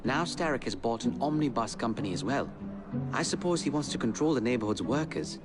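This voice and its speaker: An actor playing a shrewd Victorian London ally briefing comrades in a game cutscene, measured and explanatory. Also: male voice, medium pitch, expressive, loud music behind the voice, natural voice. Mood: concerned. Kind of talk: dramatic game cutscene acting.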